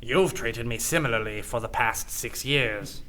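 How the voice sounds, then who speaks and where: An actor playing a proud, wounded alien cat officer in a sci-fi radio play, speaking in a dry, formal, guarded manner. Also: male voice, medium pitch, a little slow, bright, forceful tone. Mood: hurt, guarded.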